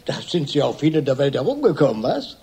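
An actor playing a shrewd, laconic private detective in a radio crime drama, with a dry, probing manner and a husky, gravelly voice. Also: male voice, medium pitch, sing-song, a little fast, warm tone, heavily acted. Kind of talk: German radio crime drama, first-person narration and questioning.

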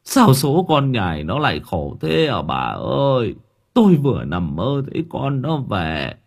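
A man's voice: mid-range voice, big pitch swings, unhurried, vocal fry, husky, heavily acted. A man voicing a distraught middle-aged father in a horror story, pleading and lamenting tearfully. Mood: grieving, weeping. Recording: background music under the voice.

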